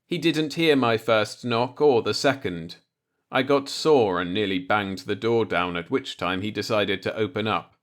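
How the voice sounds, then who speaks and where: A man reading a short story aloud as an audiobook narrator, in a measured storytelling manner. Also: on the low side. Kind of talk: scripted narration, read-along short story.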